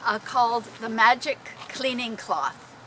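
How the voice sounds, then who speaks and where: A woman telling personal stories informally to a group, in an animated, dramatic manner. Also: fairly high pitch, unhurried, a little hiss or hum. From Canada. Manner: animated, dramatic, acting out exclamations. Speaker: storyteller.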